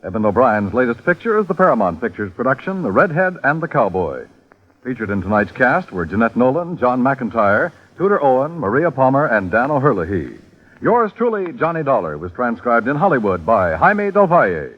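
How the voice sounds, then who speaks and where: Husky-voiced 1950s radio announcer, man. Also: medium pitch, big pitch swings, a little fast. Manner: formal, announcing. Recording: muffled old radio broadcast with music.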